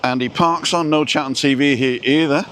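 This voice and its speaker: A man's voice: medium pitch, fairly even intonation, moderate pace, a bit bright, a little hiss or hum. Chatty man live-streaming on a walk. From Wales. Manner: chatty, reads viewer comments aloud. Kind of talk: casual chat while walking. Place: outdoors on a seafront walk.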